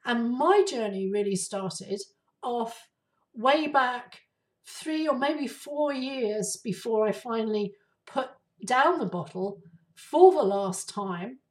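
A woman hosting her own podcast, her voice raspy and hoarse from flu, talking casually with many ums and uhs. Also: on the high side, animated, takes it slowly, a little mellow, far from the mic, poor audio. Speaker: podcast host. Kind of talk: casual solo podcast talk.

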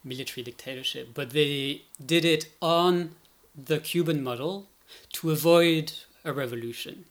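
A man's voice: fairly high pitch, normal speed. A man speaking as an academic guest expert, explaining politics analytically and often checking in as he goes.

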